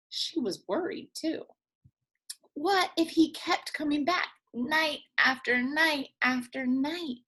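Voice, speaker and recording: Animated, bright-voiced woman reading a storybook. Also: sits high. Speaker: teacher reading to children. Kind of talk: animated children's storybook read-aloud.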